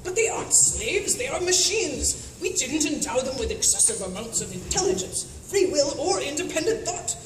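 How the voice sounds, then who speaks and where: Lady acting a bossy underground queen, high voice, pompous and belligerent, echoey noisy hall. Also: big pitch swings, normal speed, few pauses, recorded from a distance. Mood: haughty explaining. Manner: pompous, imperious, insulting. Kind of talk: live comedic radio theatre acting.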